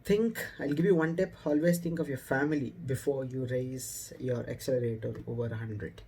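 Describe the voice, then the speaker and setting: A man's voice: mid-range voice, steady tone, moderate pace, warm tone, husky. A young man with an Indian accent talking casually to camera as a motorcycle vlogger, explaining things informally, recorded indoors in a room. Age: young adult. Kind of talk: casual vlog talk to camera.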